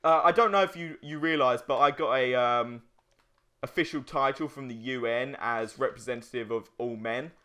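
A man's voice: mid-range voice, moderate pace, sharp tone, clean tone. A young man co-hosting a casual gaming video, bantering in a jokey way with crude humour. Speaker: let's play co-host.

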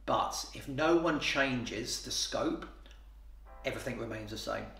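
A man's voice: mid-range voice, lively intonation, measured pace, vocal fry, pushed and bright. A man, answering interview questions plainly, with fillers and restarts. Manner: plain-spoken, matter-of-fact, with repeated fillers and restarts. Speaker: renovation company boss. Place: office, indoors. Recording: roomy office sound from far off.

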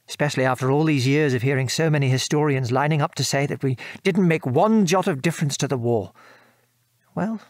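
Man playing a gentle, thoughtful British father. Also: medium pitch, no room sound, studio quality, lightly acted. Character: gentle, reflective British father, war veteran. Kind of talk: audio drama dialogue.

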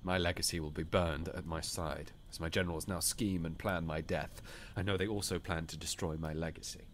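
A man's voice: sits low, fairly even intonation, fairly quick, slight background noise, close to an everyday voice. A man playing a weary, solemn ancient king with grave, formal speech in an audiobook. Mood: gloomy, resigned. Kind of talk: dramatised audiobook dialogue.

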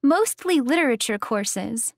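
A talkative female student speaks in a scripted lesson dialogue. She is high-pitched and animated, in a dry recording. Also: measured pace, no echo. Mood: candid. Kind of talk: scripted English listening-exercise dialogue.

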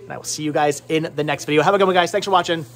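A man in his thirties hosting a casual, chatty vlog in an excitable way, often repeating his words, recorded on a handheld camera in a shop. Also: on the high side, racing. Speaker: vlog host. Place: indoor cluttered video and game shop. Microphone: handheld camera at arm's length.

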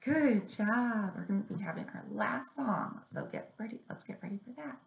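A young woman leading a story time for small children, reading aloud and giving simple instructions in a measured, deliberate way. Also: medium pitch, expressive, vocal fry. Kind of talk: picture-book reading and finger plays for young children. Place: small room indoors at home or office. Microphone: webcam or laptop mic at arm's length. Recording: roomy, echoing webcam sound.

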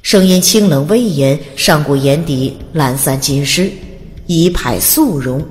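A woman's voice: low-pitched, measured pace, darkish tone, in a large hall. A woman narrating a fantasy audiobook in a dramatic, scripted storytelling style. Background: music.

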